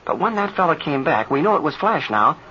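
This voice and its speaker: An actor playing an earnest, excitable young pilot in a 1930s radio serial, polite and boyish. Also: male voice, on the high side, brisk, breathy edge. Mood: excited reasoning. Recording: muffled, noisy old radio recording.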